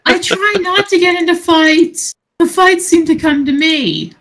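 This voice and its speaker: A woman chatting casually and telling stories in a sweary, animated way, acting out what people said. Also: very high-pitched, unhurried. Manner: sweary, animated retelling with acted-out dialogue. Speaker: podcast co-host. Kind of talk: casual storytelling chat.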